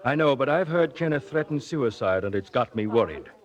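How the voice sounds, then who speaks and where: A man's voice: mid-range voice, lively intonation, a little fast, close to an everyday voice. Actor as cool calculating man, dull vintage sound. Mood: curt, guarded. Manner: curt, formal. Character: cool, calculating, suspicious male uncle. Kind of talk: 1940s radio drama acting. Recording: dull vintage radio recording, music behind.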